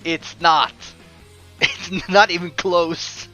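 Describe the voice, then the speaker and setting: A man from Croatia with a mixed Croatian accent, chatting casually while streaming a game and answering viewers, with frequent pauses. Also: sits high, little pitch movement, pushed and bright, soft background music, poor audio. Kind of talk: casual gameplay commentary.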